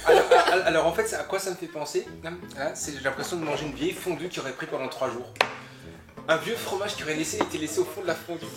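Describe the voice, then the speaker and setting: A man's voice: a little high, fast talker. A man with an Alsatian accent chats through a food tasting, joking and tripping over his words. His voice rings in a roomy, echoey space. Music plays behind him. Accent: Alsatian French. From Alsace, France. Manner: jokey, animated, stumbles over words. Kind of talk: casual food-tasting chat.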